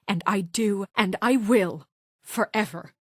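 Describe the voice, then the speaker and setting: A woman's voice: medium pitch, slow, no room sound. An actress voicing a principled young woman deputy mayor in a video game, firm, clipped and authoritative. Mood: stern. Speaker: game character. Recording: dry studio voice clips.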